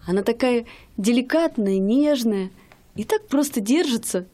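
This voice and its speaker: An actress in a radio play voicing a young wife and music student, eager, affectionate and doting. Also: female voice, on the high side, animated, a little slow, mellow. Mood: excited. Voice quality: gushing, affectionate.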